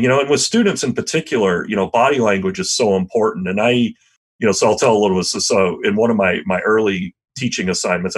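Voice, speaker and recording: A middle-aged man, a history teacher, answering an interview question in a loud voice, thoughtful and self-deprecating, with frequent restarts. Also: fairly low pitch, breathy edge.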